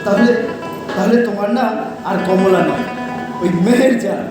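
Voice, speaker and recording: A man acting a kindly, protective old father figure, halting and emotive, repeating his opening words in a stage audio drama. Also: high voice, natural voice. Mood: grave. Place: large hall, live stage performance. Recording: echoey hall from far off, music behind.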